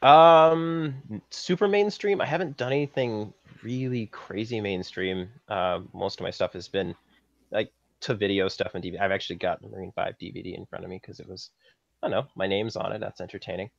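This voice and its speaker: A Canadian man talks casually in a fairly low voice, recounting things as an interview guest. The sound is slightly dull and lo-fi. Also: a little fast, creaky. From Saskatchewan, Canada. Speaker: guest, film props master. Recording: dull lo-fi audio, slightly roomy.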